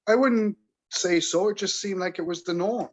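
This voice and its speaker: A man in his forties from England, answering interview questions in a measured, reflective way that halts now and then. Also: sits high. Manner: measured, reflective, halting at times. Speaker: guest.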